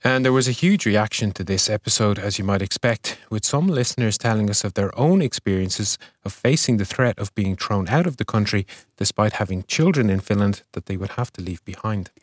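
Male podcast host, low voice, dry studio sound, measured scripted delivery. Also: lively intonation, no echo. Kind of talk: scripted news review.

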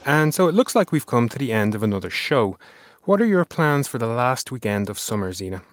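A man's voice: medium pitch, sing-song, a little fast. A man with an Irish accent co-hosting a weekly news show, reading headlines and chatting with his co-host. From Ireland. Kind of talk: scripted news reading and casual banter.

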